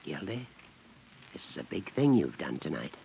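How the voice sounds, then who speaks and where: An American man playing a considerate judge and family friend in gentle, measured 1940s radio acting. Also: a bass voice, big pitch swings, gravelly, heavily acted. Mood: admiring. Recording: muffled, noisy 1940s radio recording.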